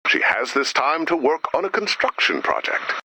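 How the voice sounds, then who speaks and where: A man voicing a reassuring, mildly officious supervisor in an animated video game, measured and encouraging, through a radio filter. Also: on the high side, a little hiss or hum, heavily acted, low-quality recording. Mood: mild, official.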